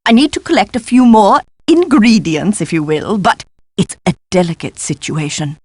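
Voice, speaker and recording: An actress playing an eccentric, excitable, absent-minded woman professor in a video game, with a flighty, pompous and exclamatory delivery. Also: medium pitch, moderate pace, slightly rough, no room sound, close to an everyday voice. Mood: grandiose, confiding. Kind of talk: theatrical video game voice acting.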